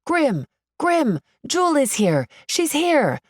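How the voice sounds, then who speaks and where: A woman voicing excited teenage superfans shouting urgently in an audiobook. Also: high-pitched, takes it slowly, dry recording, studio quality, pitched above the performer's own voice, heavily acted.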